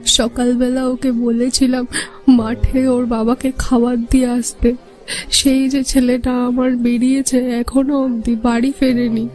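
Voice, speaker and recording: Woman acting a high-voiced, distraught village mother. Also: darkish tone, poor audio. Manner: pleading, anxious, urgent questioning. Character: distraught village mother searching for her missing son. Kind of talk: Bengali audio horror drama acting.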